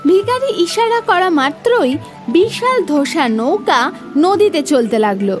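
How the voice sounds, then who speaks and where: A woman's voice: very high voice, big pitch swings, measured pace, soft background music. A woman narrating a scripted children's story as the storyteller.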